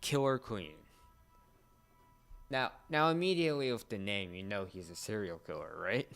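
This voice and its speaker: A young man co-hosting a casual pop culture discussion, explaining things in a halting, searching way. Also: fairly low pitch, fairly bright tone, clear, resonant tone, faint music behind. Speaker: podcast co-host.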